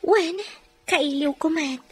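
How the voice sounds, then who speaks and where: A woman playing a lovelorn, longing mother in a drama, speaking earnestly with a husky voice. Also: sits high, takes it slowly. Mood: yearning. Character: lovelorn, longing mother separated from her beloved. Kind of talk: Ilocano radio-style drama acting.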